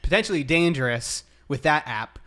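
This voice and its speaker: A man's voice: fairly high pitch, animated, normal speed, pushed and bright. A young American man hosting a casual podcast interview, chatting in a self-deprecating way. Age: young adult. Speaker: podcast host.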